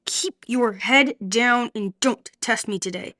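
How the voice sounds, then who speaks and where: A woman's voice: a little high, slow. A woman voicing a harsh, strict orphanage matron who scolds the children. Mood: stern. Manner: scolding, sharp commands.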